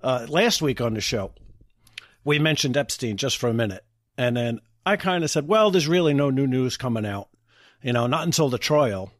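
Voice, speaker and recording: A man's voice: mid-range voice, lively intonation, normal speed, no room sound, studio quality. A man hosting a podcast, chatting casually in a rambling storytelling way with plenty of swearing. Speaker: podcast host. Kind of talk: casual chat.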